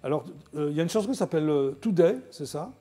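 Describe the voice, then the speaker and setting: A woman hosting a TV talk show, asking brisk chatty questions. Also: low-pitched, expressive, mellow. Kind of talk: casual TV interview.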